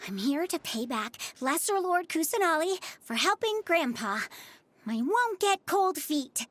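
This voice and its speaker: A woman voicing a nervous but determined young boy in a video game, with a high, childlike, earnest and hesitant delivery. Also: very animated, measured pace, a bit bright, exaggerated voice. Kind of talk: scripted game voice acting.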